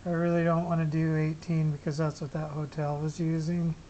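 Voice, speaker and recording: A hobbyist talks through a radio setup in a casual, unscripted way. The voice sits at a middling pitch, and the explanations ramble and halt. Clear background noise and clicks run through the recording. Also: little pitch movement, a little fast, warm tone, dull audio. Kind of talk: casual unscripted gear demo.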